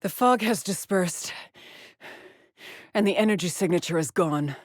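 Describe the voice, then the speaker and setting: An actress voicing a weary, driven middle-aged woman exploring space in a video game, with a husky, clipped and solemn delivery. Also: on the low side, unhurried, no echo, studio quality. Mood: focused, measured. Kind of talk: dramatic game voice lines, terse log entries.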